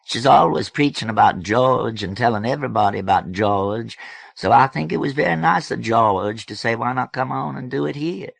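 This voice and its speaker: A man's voice: medium pitch, normal speed, vocal fry, no echo, pitched above the performer's own voice. A man voicing a friendly, sociable Southern US woman character in an audiobook, chatty and folksy. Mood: approving, chatty.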